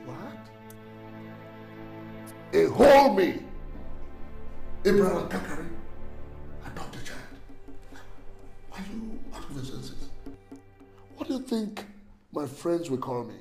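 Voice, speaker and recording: An actor playing a proud, impatient husband with a Nigerian accent and formal, declamatory phrasing in a film drama. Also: male voice, fairly high pitch, sing-song, measured pace, long pauses, darkish tone, faint music behind. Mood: frustrated, indignant.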